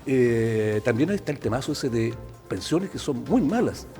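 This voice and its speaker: A man's voice: medium pitch, a little slow, soft background music. A Chilean man in his sixties, a TV host chatting casually, playful and joking. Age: 60s. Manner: playful, jokey, animated. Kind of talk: casual studio chat.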